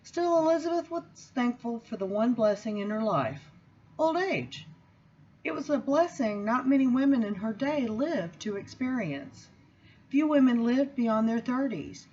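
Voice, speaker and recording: A woman reads from a book aloud in an expressive style, with measured, deliberate phrasing and emphatic pauses. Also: fairly high pitch, breathy edge, dull audio. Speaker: seminary student reading aloud. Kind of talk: expressive book reading with spoken introduction.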